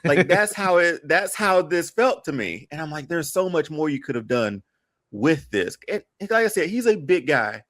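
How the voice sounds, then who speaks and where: A man's voice: on the high side, expressive, normal speed, fairly bright tone, no echo, studio quality. A man talking casually as a podcast panelist, thinking aloud in a conversational way. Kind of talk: casual pop-culture discussion.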